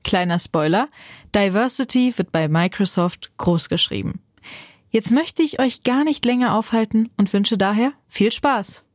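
A woman's voice: medium pitch, a little slow, no room sound, clean studio sound. A woman podcast host delivering a scripted introduction in German.

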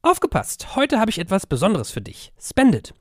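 A man's voice: high-pitched, huge pitch swings, moderate pace, dry recording, studio quality. A man speaking as a podcast host in a playful, informal, teasing way. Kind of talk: casual interview chat.

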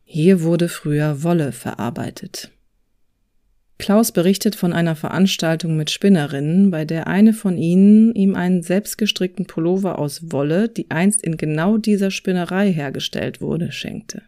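Dark, mellow woman narrating. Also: lowish voice, moderate pace. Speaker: podcast host narrator. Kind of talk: scripted reflective narration.

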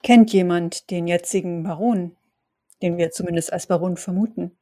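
A woman playing a diplomatic, level-headed vampire in an audio drama, calming and reasonable. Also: on the low side, moderate pace, close to an everyday voice. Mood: inquiring. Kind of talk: German audio drama role-play acting.

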